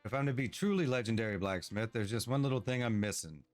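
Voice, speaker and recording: A man's voice: lowish voice, quick pace, soft background music, natural voice. A man voicing an eager, awkward, proud young dwarf inventor in a fantasy game, with hesitant, folksy delivery. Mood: excited, proud. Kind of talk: storybook-like game dialogue read aloud.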